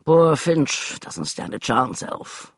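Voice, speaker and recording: A man voicing a wry, amused gentleman with a dry manner of speech. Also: mid-range voice, big pitch swings, slow pace, gravelly, breathy edge, heavily acted.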